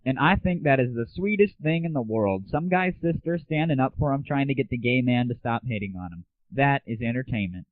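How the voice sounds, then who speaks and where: A tinny, phone-like recording carries a man hosting a gaming show. He talks in a casual, irreverent monologue full of slang and crude cheek. His mid-pitched voice sounds close and dry. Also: animated, a little fast, few pauses, dry recording. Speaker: host of an online gaming audio show. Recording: tinny, narrowband phone-like audio.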